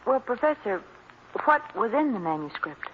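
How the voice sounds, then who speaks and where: An actress playing a poised, inquisitive society lady and sleuth's companion in an old radio drama, polite and expressive. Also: a little high, moderate pace, narrow, tinny audio. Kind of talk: 1940s radio acting. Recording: hissy, band-limited old radio recording.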